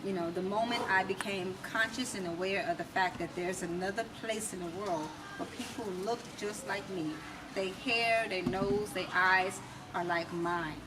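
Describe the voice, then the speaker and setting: A noisy, distant-miked recording of an American woman in conversation. She has a medium-pitched voice and speaks emphatically and reflectively, setting out her story in short, deliberate phrases as an interview guest. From United States. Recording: distant mic, clearly noisy background.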